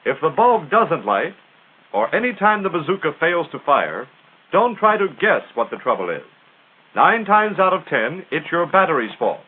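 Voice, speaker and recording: A tinny, narrow-band 1940s film soundtrack carries an American man narrating military instruction. His voice sits fairly high and swings with lively emphasis. He delivers it in a punchy, instructional style. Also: normal speed, husky.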